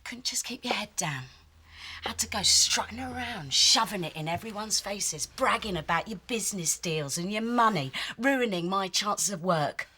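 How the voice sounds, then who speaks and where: An actress playing a cold, vengeful woman who sneers and talks in a low, cutting hush. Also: normal speed, slightly rough, full character voice. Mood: gloating. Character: cold, gloating woman seeking revenge. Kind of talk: TV soap acting.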